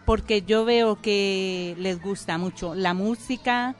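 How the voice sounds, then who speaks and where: A woman's voice: mid-range voice, slow, faint music behind. A woman with a Colombian accent answering interview questions in a firm, plain-spoken way. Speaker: guest.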